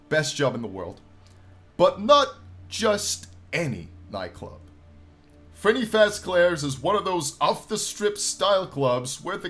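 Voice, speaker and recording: A man in his twenties hosting a game playthrough, reading story text aloud with theatrical, drawn-out delivery and casual asides. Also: on the high side, a bit bright. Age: 20s. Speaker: gaming channel host.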